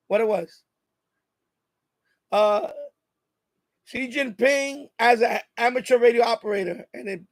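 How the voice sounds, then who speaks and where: A man with a high-pitched voice hosts a casual livestream. He rambles along informally and circles back over his own phrases. The sound is dry and close, with very little room in it. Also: no room sound. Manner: rambling, repeats himself. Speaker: livestream host. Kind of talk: casual live chat with viewers.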